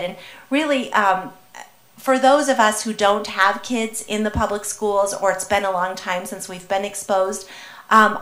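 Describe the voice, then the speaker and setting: Councilwoman, mid-pitched, earnest and encouraging, echoey chamber. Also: a bit bright. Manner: earnest, encouraging, speaks up with conviction. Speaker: city councilmember. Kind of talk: council meeting remarks.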